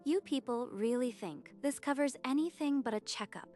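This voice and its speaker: A man's voice: very high-pitched, moderate pace, a little mellow, faint music behind, pitched above the performer's own voice. A man voicing a haughty, dismissive female dentist in a manga dub, sneering and contemptuous.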